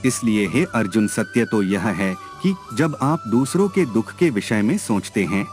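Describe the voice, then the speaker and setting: A man voicing a serene, wise god who teaches his disciple in calm, formal words in a mythological story. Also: on the low side, a little slow, faint music behind. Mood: serene teaching.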